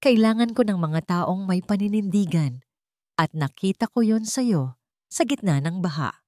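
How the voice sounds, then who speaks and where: A woman's voice: medium pitch, animated, a little slow, mellow, no room sound, lightly acted. Woman voicing a kind, dignified Filipino company chairman. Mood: earnest, grateful. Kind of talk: dramatic storytelling.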